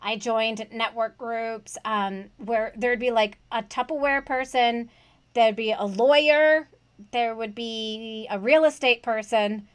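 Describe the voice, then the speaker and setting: A woman's voice: fairly high pitch, slow, clean tone. A woman hosting a casual conversation about online tutoring, earnest and chatty, asking rhetorical questions. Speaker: host, online tutoring coach.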